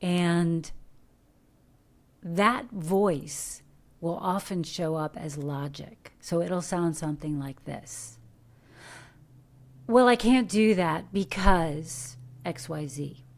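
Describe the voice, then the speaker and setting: A middle-aged American woman from Detroit, an actor giving earnest, coaching advice in a podcast interview, animated with rhetorical questions. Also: fairly low pitch. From Detroit, USA. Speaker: guest, actor. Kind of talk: podcast interview, motivational talk.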